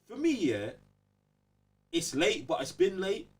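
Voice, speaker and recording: A man's voice: high voice, huge pitch swings, slow pace, breathy edge, recorded from a distance. A young man with a London accent talking emphatically and in slang on a casual podcast, often checking that he's being followed. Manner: emphatic, slangy, repeats check-in tag phrases. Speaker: podcast host.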